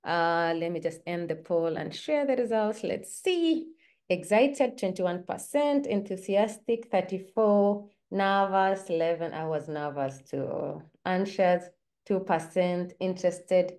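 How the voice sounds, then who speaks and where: A woman's voice: on the low side, a little slow, darkish tone. Chatty woman hosting a webinar. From Kenya. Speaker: webinar host. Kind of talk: moderating an online webinar.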